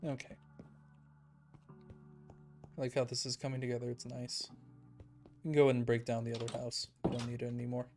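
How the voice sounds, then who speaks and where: A young man streaming a building game, talking calmly and evenly in a soft, soothing voice he calls monotone. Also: medium pitch, moderate pace, long pauses, soft background music. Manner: calm, soft-spoken, self-described monotone. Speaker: Minecraft streamer. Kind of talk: casual livestream chat while building in a game.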